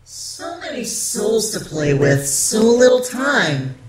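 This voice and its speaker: A man voicing a sadistic demonic creature from a horror story, gleefully taunting his victims with drawn-out, mocking menace. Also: high voice, very animated, very noisy, voice pushed up, full character voice. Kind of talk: horror story character reading. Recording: echoing voice buried in loud ambience.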